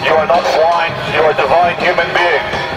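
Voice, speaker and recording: A man's voice: fairly high pitch, level tone, moderate pace. A man making a declamatory public speech outdoors on a city street, full of repeated slogans, like an activist addressing passers-by. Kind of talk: public street oratory, satirical proclamation. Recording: street recording with loud music.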